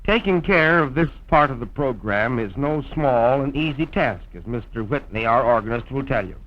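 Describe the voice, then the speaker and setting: An American man narrating a scripted promotional film in a formal, measured old-time radio announcer's style, on a vintage soundtrack. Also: mid-range voice, expressive, muffled recording. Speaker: radio program host and narrator.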